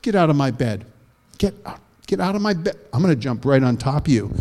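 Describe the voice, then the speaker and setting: A man's voice: mid-range voice, lively intonation, fairly quick, a little mellow, in a large hall, lightly acted. A man voicing a hungry young ghost boy with a whiny, pleading manner.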